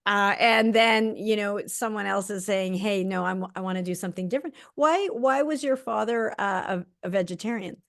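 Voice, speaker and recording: A woman's voice: mid-range voice, normal speed, vocal fry, hardly any pauses. A woman with a mid-range voice hosts the interview. She talks enthusiastically and chats freely. She fills her sentences with "uh" and "you know.". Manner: enthusiastic, chatty, with fillers. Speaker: host and doctor. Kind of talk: interview hosting.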